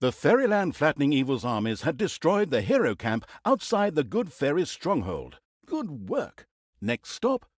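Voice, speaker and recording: A theatrical male storybook narrator for a fantasy video game, sardonic and mock-grand. His voice sits a little high for a man and swoops dramatically across a very wide pitch range. The sound is close and dry. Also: a little mellow, no echo. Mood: sardonic, amused. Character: wry, theatrical male storybook narrator mocking heroes and villains.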